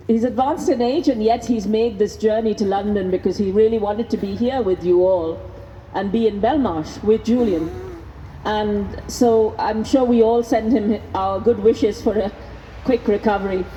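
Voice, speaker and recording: A woman reading a speech aloud at an outdoor vigil, speaking into a microphone in a measured, deliberate way. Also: mid-range voice, dark tone. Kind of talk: public speech read aloud at a rally. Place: outdoors at a vigil by prison gates. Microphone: handheld microphone. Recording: noisy outdoor PA with long echo.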